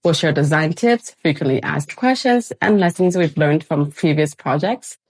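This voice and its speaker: A woman in her forties, co-founder of a renovation company, speaking in an upbeat, clear way. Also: sits low, normal speed, darkish tone. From born in Thailand, raised in Canada. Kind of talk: scripted channel introduction.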